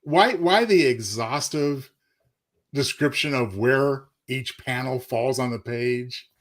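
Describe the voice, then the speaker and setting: A man's voice: mid-range voice, slow pace. A man co-hosting in casual chat, with a wry, mock-exasperated way of talking. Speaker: radio show co-host.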